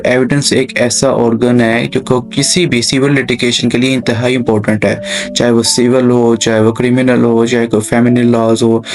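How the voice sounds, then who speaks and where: A man with a Pakistani accent giving an explanatory lecture in simple terms, addressing listeners directly in an instructive way. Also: mid-range voice. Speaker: law lecturer and channel host. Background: background music.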